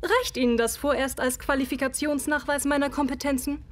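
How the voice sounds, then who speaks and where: Actress as high-voiced, proud Victorian lady doctor. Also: fairly even intonation, fairly quick, a little hiss or hum, lightly acted. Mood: haughty, defensive. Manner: formal, precise, haughty. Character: proud, defensive Victorian woman psychologist and doctor. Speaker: voice actor in an audio drama. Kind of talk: German radio play dialogue.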